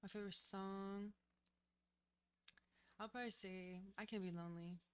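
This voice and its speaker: A woman who is a new singer, answering interview questions in a studio in a soft, hesitant way, often pausing to think. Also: medium pitch, fairly even intonation, clean tone. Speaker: guest singer, new artist. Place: recording studio.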